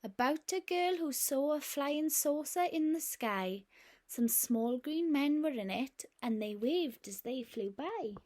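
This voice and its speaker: A nursery teacher reading a picture book aloud to young children in a gentle, expressive way. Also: female voice, high-pitched.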